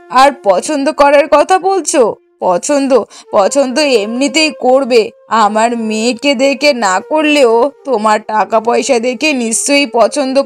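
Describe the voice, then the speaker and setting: A high-pitched middle-aged mother from a moral-story cartoon, played by a woman. She is bossy and sharp-tongued, always scheming. She scolds and argues as if nobody else may have a say. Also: lightly acted.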